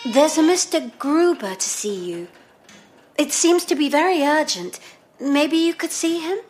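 An actress playing a stubborn young daughter in an audio drama. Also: female voice, high voice, animated, unhurried, a little mellow, in a large hall. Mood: polite.

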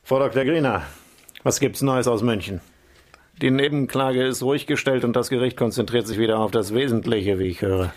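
A condescending senior official in a radio drama, played by a man. His voice sits at a middle pitch, and he talks in a patronising, mocking way, as if nothing could ruffle him. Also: brisk, close to an everyday voice. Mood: smug. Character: smug, condescending male senior intelligence official. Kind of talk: radio play acting.

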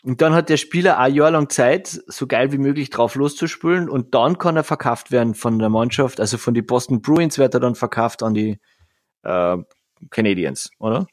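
A man's voice: mid-range voice, normal speed, no room sound, clean studio sound. Joking man, casual chat. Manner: joking, laughs often, guesses playfully.

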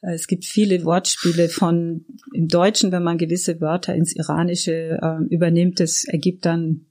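Casting: middle-aged woman, lower-pitched, interview guest telling personal memories in a reflective, searching way. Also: little pitch movement, normal speed, warm tone. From Iran. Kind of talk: casual personal reminiscence.

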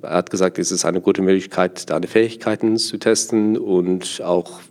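A man's voice: low-pitched, steady tone, normal speed, a little mellow. A young man from South Africa, a native Afrikaans speaker with an Afrikaans accent, answering interview questions hesitantly as he searches for words in his second language. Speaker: interview guest, part-time sommelier.

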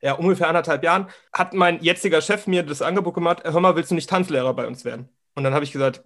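A man in his twenties, a dance teacher, chatting casually in a rambling, relaxed way with many fillers. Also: on the high side, fast. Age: 20s. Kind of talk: casual conversation.

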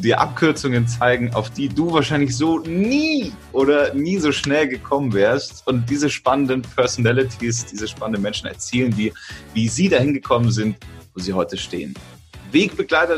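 A man hosting a podcast, giving an upbeat, chatty introduction and asking short questions. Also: mid-range voice. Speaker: podcast host. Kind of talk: podcast interview.

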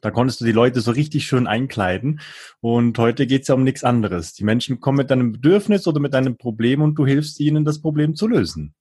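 A man in his thirties from near Bern with a Swiss German accent, introducing himself as a podcast guest in a relaxed way. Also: medium pitch, fairly quick, husky, no room sound, studio quality. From Bern area, Switzerland. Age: 30s.

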